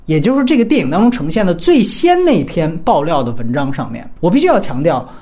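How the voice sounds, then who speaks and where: A man's voice: on the high side, big pitch swings, brisk, dark tone. A man hosting a film discussion, giving his opinions analytically and emphatically, explaining point by point. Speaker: film podcast host.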